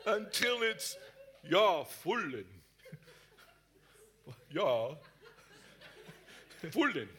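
A man with an American accent preaching playfully and exuberantly to a congregation, pausing for an interpreter. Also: high-pitched, big pitch swings, fairly bright tone. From Texas, United States. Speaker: guest preacher. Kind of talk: interpreted live sermon, joking with congregation.

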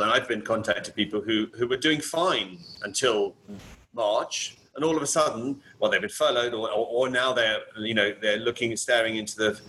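A man's voice: on the low side, pushed and bright, a little hiss or hum, poor audio. A man who grew up in Kenya, chatting as an interview guest and speaking hesitantly with many restarts. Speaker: guest, property finance specialist. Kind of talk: casual interview chat. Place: home in Barcelona.

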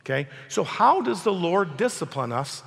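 A man preaching an emphatic sermon while hoarse from a cold, asking his congregation questions. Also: sits high, big pitch swings, moderate pace, a bit bright, long reverb tail. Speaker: pastor. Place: church hall.

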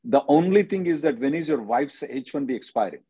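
A man's voice: mid-range voice, lively intonation, brisk, warm tone, husky, dry recording. A man hosting a call-in as an attorney, advising callers in a blunt, directive way and often checking with "okay?".

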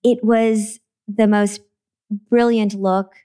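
A woman from Trinidad, an author talking about her books in a relaxed interview, earnest and quick to laugh. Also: mid-range voice, clean tone. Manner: earnest, laughs easily, repeats words when starting. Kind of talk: conversational interview.

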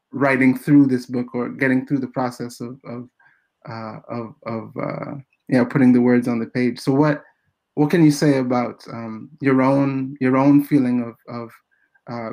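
A man interviewing an author, asking long questions haltingly, with many pauses and restarts. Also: mid-range voice. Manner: halting, many fillers and restarts, soft-spoken. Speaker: interviewer, host.

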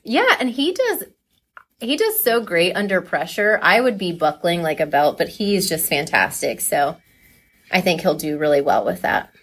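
A woman talking casually and enthusiastically as a coach being interviewed, chatty and quick to laugh. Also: fairly low pitch, very animated, a bit bright, breathy edge.

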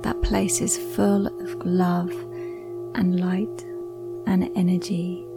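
A woman leads a guided meditation in a soothing, hushed voice, leaving long pauses between short phrases. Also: on the low side, flat delivery, loud music behind the voice, low-quality recording. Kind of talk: slow guided meditation narration. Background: ringing Tibetan singing bowls.

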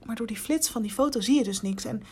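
An actress playing an anxious, inquisitive young reporter in a hesitant, confiding voicemail monologue in an audio drama. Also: female voice, fairly high pitch, fast, breathy edge. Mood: uneasy, confiding.

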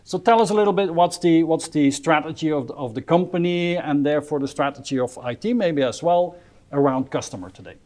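A man's voice: a little high, brisk, darkish tone. A man hosting a structured business interview, introducing and asking questions in a polite, inquiring manner. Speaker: interview host.

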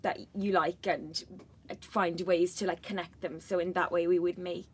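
A woman's voice: on the low side, little pitch movement, normal speed, husky. A woman stage actor talking enthusiastically and gushing about rehearsals in an interview. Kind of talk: casual interview chat.

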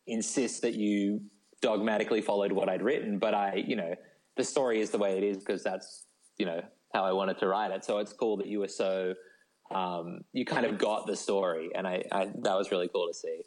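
A man's voice: on the low side, fairly even intonation, moderate pace, a little mellow, rough recording. A man talking casually as an interview guest, enthusiastic and rambling, with lots of informal "like". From Australia. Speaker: novelist being interviewed as a guest.

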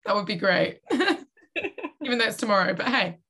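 A woman, co-hosting a casual podcast, her voice tired and a little croaky. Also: medium pitch, sing-song, moderate pace, bright, forceful tone. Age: 20s. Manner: chatty, reflective, quotes her own inner thoughts. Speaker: podcast co-host. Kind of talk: casual chat.

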